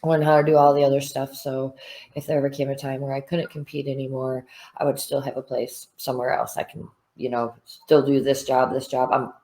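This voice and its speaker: A woman's voice: rumbling low, flat delivery, a little fast, mellow. A woman in her thirties, a fighter, talking frankly in a casual interview, swearing freely and full of fillers. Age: 30s. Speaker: bare knuckle fighter.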